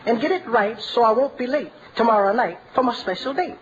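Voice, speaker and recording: An actor playing a boastful, carefree young sailor who brags about dates, in 1940s radio comedy style. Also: male voice, very high voice, fairly quick. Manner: bragging, rhyming, showy. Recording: muffled old radio broadcast with hiss.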